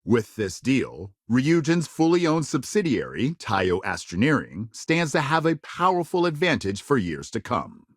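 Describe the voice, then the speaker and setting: An actor playing a polished, dramatic male news anchor in a sci-fi game, with crisp, measured, emphatic broadcast phrasing. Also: medium pitch, huge pitch swings, no room sound, studio quality. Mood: authoritative, serious. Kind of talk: scripted broadcast news delivery.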